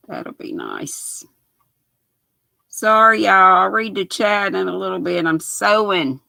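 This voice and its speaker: An older woman with a raspy voice, chatting folksily with her livestream viewers while she sews. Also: medium pitch, very animated, takes it slowly, long pauses, sharp tone, dry recording. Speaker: knitting livestream host. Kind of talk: casual live chat while sewing.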